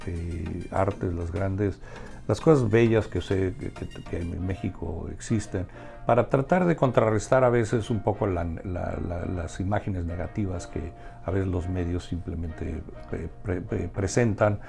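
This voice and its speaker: Man, Mexican accent, low pitch, heavy vocal fry, halting conversational delivery, repeated words. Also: warm tone, faint music behind. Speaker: interview guest, restaurateur and community organization member. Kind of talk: conversational interview answers.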